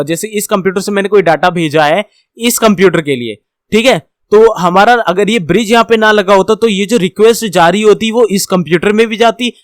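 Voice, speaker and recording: A man's voice: high-pitched, dry recording, clean studio sound. A man with an Indian accent giving an informal tech tutorial as an instructor, repeating and rephrasing points step by step and mixing in English technical terms.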